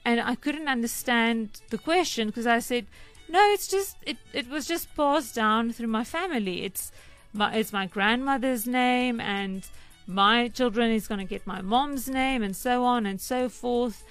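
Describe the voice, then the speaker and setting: A woman's voice: a little high, a little slow, clear, resonant tone, faint music behind. Chatty South African radio presenter woman. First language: Afrikaans. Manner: warm, chatty, with frequent ums. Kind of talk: conversational radio feature.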